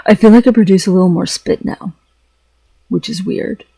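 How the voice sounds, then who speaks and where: A man's voice: high-pitched, moderate pace, vocal fry, warm tone, breathy edge, no echo, low-quality recording. A man talking in a casual, rambling vlog style, hesitant, often repeating phrases and trailing off mid-thought. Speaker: vlogger.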